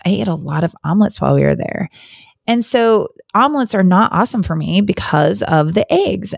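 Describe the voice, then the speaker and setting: A woman with a hoarse, gravelly voice hosts a solo podcast, chatting candidly and rambling a little. Her pitch sits low, and the recording is close and dry with no room sound. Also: darkish tone, dry recording. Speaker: podcast host. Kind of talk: casual solo podcast chat.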